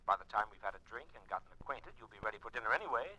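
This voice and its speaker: An actor playing a smooth-talking, flirtatious man-about-town in a 1950s radio suspense drama, suave and breezy. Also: on the low side, expressive, rapid-fire, pushed and bright. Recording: dull 1950s radio recording.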